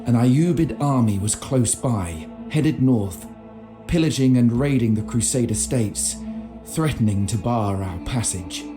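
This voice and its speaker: A man's voice: mid-range voice, expressive, measured pace, music in the background, lightly acted. An actor playing a solemn medieval knight who narrates his campaign journal in a video game. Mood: solemn, recounting. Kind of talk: dramatic scripted game narration.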